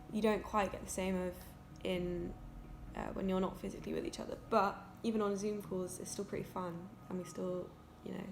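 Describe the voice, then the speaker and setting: A woman's voice: mid-range voice, darkish tone. A young woman reflecting casually and a little hesitantly on her youth theatre group, with faint background noise. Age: young adult. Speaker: youth theatre company member. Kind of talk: casual interview-style reflection.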